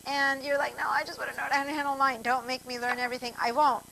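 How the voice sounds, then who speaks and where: A woman's voice: high-pitched, flat delivery, quick pace, gravelly, sharp tone, a little hiss or hum, low-quality recording. A woman from Argentina teaching a computer class over a video call, chatty and digressive, speaking straight to her students. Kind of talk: online class instruction.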